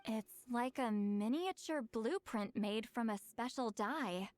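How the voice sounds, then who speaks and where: A woman's voice: fairly high pitch, slow pace, a little mellow, faint music behind. A woman voicing a confident, shrewd and teasing young female companion in a video game, wry in her delivery. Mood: intrigued, explaining. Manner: self-assured, wry.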